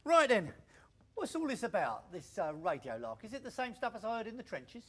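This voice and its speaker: A man's voice: high voice, wildly swooping pitch, a little fast, slightly rough, exaggerated voice. A man playing a cheeky, money-minded 1920s bandleader and war veteran in a radio play, breezy and informal. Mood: breezy, curious. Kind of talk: period radio drama acting.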